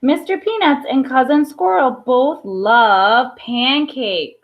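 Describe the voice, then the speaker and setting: A woman reading a children's picture book aloud expressively, with pauses for emphasis and small asides. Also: high-pitched. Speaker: storybook reader. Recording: dull, lo-fi sound.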